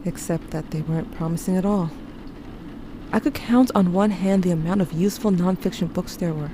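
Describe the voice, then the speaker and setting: A woman plays a guarded teenager narrating an audio drama over clear background noise. The voice is on the low side, introspective and wry, confessing grief and guilt as if to someone lost. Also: brisk, lightly acted. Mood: wry, matter-of-fact. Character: guilt-haunted, guarded teenage narrator grieving a dying loved one.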